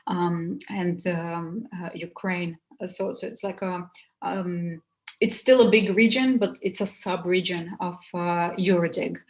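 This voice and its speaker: A woman giving an informal seminar presentation, speaking in a halting, hesitant way with many pauses. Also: fairly low pitch. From Bulgaria.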